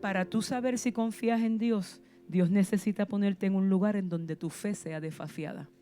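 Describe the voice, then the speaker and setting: A woman's voice: mid-range voice, steady tone, normal speed, dark tone, faint music behind. A woman preacher with a Puerto Rican accent, delivering a passionate sermon full of rhetorical questions and sudden emphasis. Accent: Puerto Rican Spanish. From Puerto Rico.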